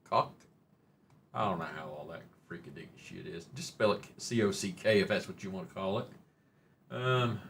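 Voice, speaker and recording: A man's voice: lowish voice, a bit bright, slight background noise. A middle-aged man, hosting a rambling, joking, mildly profane live-stream chat. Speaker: livestream host.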